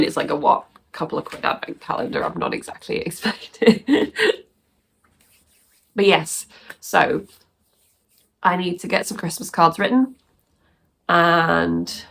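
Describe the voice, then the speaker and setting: A woman's voice: fairly low pitch, vocal fry, a bit bright. A woman vlogging casually about her crafts, chatty and rambling, sounding bunged up and sniffly. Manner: chatty and rambling, laughs often. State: stuffy nose from sneezing. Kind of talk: casual chat to camera.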